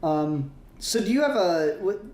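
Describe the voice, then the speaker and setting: A man's voice: high-pitched, expressive, a little slow, darkish tone. A man in casual, sweary fan discussion as a podcast co-host, rambling along with emphatic repetition. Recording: roomy echo, faint music behind.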